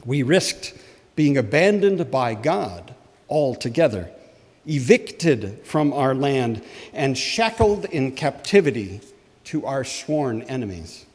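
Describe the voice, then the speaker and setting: A man playing a solemn, impassioned ancient Hebrew prophet, delivering a scripted sermon in measured, emphatic oratory. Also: fairly high pitch, darkish tone, exaggerated voice. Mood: grave testimony. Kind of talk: dramatic first-person sermon read from a script. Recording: long hall reverb.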